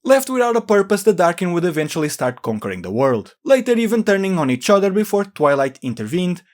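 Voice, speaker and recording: A man's voice: high-pitched, big pitch swings, quick pace. A man narrating an explanatory video about game lore in an informal way.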